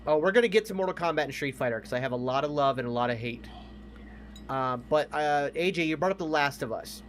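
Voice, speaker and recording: A man with a voice a little higher than average co-hosts a casual entertainment podcast. He is emphatic and opinionated and swears freely. Faint noise sits behind his voice. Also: expressive, fast. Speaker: podcast co-host. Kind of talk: casual film and game discussion.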